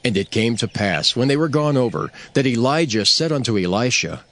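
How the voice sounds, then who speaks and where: Very expressive male scripture narrator. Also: medium pitch, brisk. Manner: solemn, storytelling. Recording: music behind the voice. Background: prominent background music.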